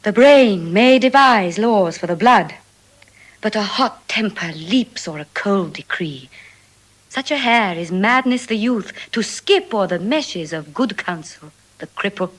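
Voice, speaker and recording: An actress with a British accent playing a witty, world-weary young heiress in languid classical Shakespearean stage style. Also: female voice, medium pitch, animated, poor audio. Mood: wry. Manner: languid, sighing, deliberate.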